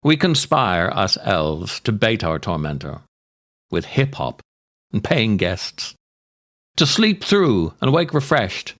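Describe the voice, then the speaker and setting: An older man hosting a podcast, reading scripted comic stories and verse in a theatrical, deliberate way with dramatic pauses. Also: fairly low pitch, very animated, a bit bright. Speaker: podcast host, writer and actor.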